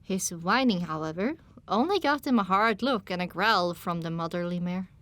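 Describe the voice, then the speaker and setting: A woman's voice: on the low side, expressive, moderate pace, fairly bright tone, dry recording. A woman reading scripted first-person story narration as an audiobook narrator.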